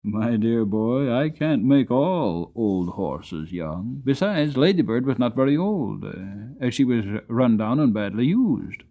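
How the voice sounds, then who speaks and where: A hearty old country grandfather, as a man acts him out. He is kindly and good-humoured, speaking deliberately with big swings of pitch. The storybook recording is a little dull. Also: sing-song, full character voice. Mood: amused, fond. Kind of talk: dramatic audiobook character voice.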